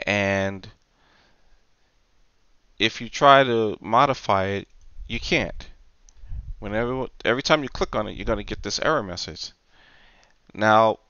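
A man with a fairly low, smooth voice walks through a software tutorial step by step. He explains things off the cuff, pausing and repeating words as he goes. The recording is close and dry, with a slightly dull top end. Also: no echo. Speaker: tutorial presenter. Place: indoors, dry voice-over for screen recording.

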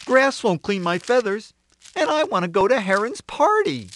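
A woman's voice: mid-range voice, sing-song, normal speed, pitched above the performer's own voice, natural voice. A woman voicing an eager, fussy male rooster in a children's storybook, pleading as she repeats a growing list of requests. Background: music.

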